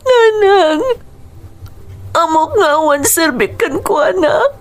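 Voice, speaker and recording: A woman's voice: very high voice, expressive, exaggerated voice. A woman with an Ilocano accent playing a sorrowful young wife in a radio drama, pleading tearfully. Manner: tearful, slow, pleading delivery.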